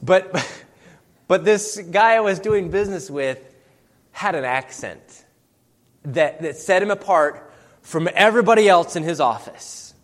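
A man's voice: sits high, animated, measured pace. Man preaching in an echoing hall. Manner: conversational, asks many rhetorical questions. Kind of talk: sermon. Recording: long hall reverb.

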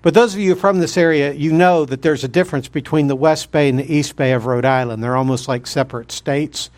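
A guest preacher opens his sermon in a man's voice that sits slightly high. His delivery is folksy and joking, full of light asides to the congregation. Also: brisk. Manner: jokey, folksy.

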